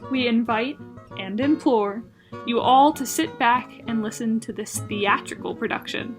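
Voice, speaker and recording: Female announcer, higher voice, formal theatrical radio-play introduction, prominent guitar music underneath. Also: measured pace.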